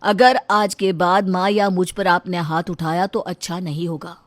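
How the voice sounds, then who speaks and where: A woman's voice: on the low side, steady tone, normal speed, dry recording, close to an everyday voice. A woman voicing an earnest, respectful grown son in a moral story. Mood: firm, upset. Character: meek, respectful grown son finding his courage. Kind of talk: acted dialogue in a moral story.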